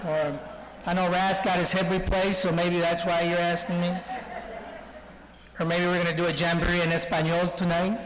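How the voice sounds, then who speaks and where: A man's voice: high voice, little pitch movement, moderate pace, background noise. A man in his forties from Colombia who grew up partly in Texas, giving an informal guest speech full of anecdotes and self-deprecating jokes. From Colombia, then Texas from childhood. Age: 40s. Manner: joking, anecdotal storytelling. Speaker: guest speaker. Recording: reverberant hall, speech over PA.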